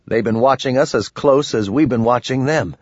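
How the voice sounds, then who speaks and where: A man's voice: medium pitch, moderate pace, slightly rough, dry recording, muffled recording, pitched above the performer's own voice, natural voice. A man voicing an irreverent, folksy adventurer who talks in loose, slangy, plain terms in an audiobook. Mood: wry, casual. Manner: colloquial, slangy, loose grammar.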